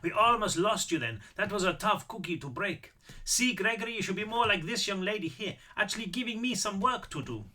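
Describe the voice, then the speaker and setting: Casting: male narrator as old Austrian lawyer, high and animated, mock-dramatic teaser with a soft spot, audiobook role. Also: fairly quick, a little hiss or hum, full character voice. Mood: fond teasing. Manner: theatrical, playfully grumbling. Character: elderly Austrian lawyer, teasing, dramatic, fond old man. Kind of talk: audiobook character voice.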